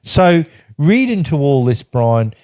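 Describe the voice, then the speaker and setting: A man's voice: a little high, sing-song, slow, darkish tone, dry recording, studio quality. An older man reading from his old travel journal and telling the stories behind it in a casual, chatty way, laughing often. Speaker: podcast guest recounting travels.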